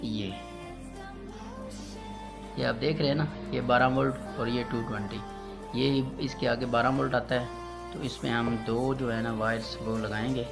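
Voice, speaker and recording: A man's voice: mid-range voice, fairly even intonation, a little slow, vocal fry, pauses often. A man giving a casual, step-by-step how-to demonstration, repeating his instructions and addressing viewers as friends. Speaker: electrician giving a tutorial. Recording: loud music behind the voice.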